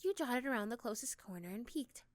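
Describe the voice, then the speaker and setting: A woman's voice: on the high side, lively intonation, brisk, dry recording. A woman narrating a second-person ASMR story, recorded close to the microphone. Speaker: narrator and voice performer.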